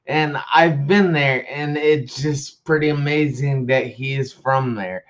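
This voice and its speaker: Slow-talking enthusiastic male host. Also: mid-range voice, fairly even intonation, lo-fi sound. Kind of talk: live online talk show hosting.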